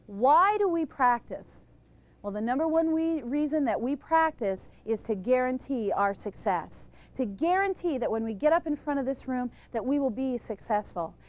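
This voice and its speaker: A woman giving an energetic lesson on public speaking to a live group, emphatic and quizzing the room. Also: sits high, normal speed. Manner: energetic, emphatic, asks questions of the audience. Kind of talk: instructional talk to a live group.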